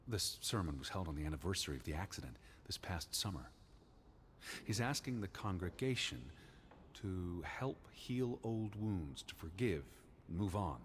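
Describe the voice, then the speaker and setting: Low-voiced, bookish stern naturalist. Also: male voice, sing-song, normal speed, a little hiss or hum. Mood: grave, thoughtful. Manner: precise, formal, bookish. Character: stern, learned 1920s American naturalist, earnest and skeptical. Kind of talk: naturalistic video game dialogue.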